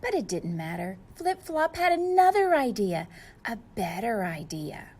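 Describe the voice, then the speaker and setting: Female storybook reader for young kids, higher voice, unhurried, expressive delivery. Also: husky. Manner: expressive, addressing children directly. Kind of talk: children's story read-aloud.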